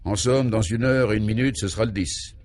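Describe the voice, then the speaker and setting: A troubled male governor from a radio serial, played by a man, speaks in a lowish voice. He is solemn and anxious, halting as he ruminates and searches for his words. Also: a little mellow, a little hiss or hum, natural voice. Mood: brooding.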